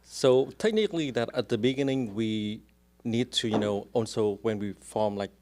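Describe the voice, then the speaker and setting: A man's voice: on the low side, moderate pace, background noise. A man from Vietnam with a strong Vietnamese accent, a real estate agent answering interview questions earnestly in broken English.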